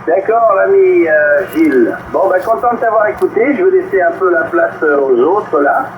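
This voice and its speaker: A man's voice: sits high, animated, moderate pace. An older man with an accent from France, chatting amiably as a CB caller, his voice coming through a radio transmission. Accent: French from France. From Brittany, northwest France. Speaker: CB radio operator calling in. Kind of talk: casual radio chat. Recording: muffled noisy CB radio reception, roomy. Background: radio static noise.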